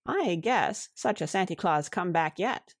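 A woman voicing a shrewd, good-natured, calm housewife who speaks wryly in foreign-tinged broken syntax. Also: on the low side, unhurried, no room sound, studio quality.

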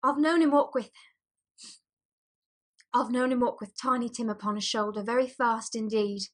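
A woman voicing a gentle, sorrowful mother who keeps a brave, steady face, in an audiobook. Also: a little high, moderate pace, voice pushed up, close to an everyday voice. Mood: wistful. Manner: soft-hearted, bravely steady. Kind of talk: dramatised audiobook character voice.

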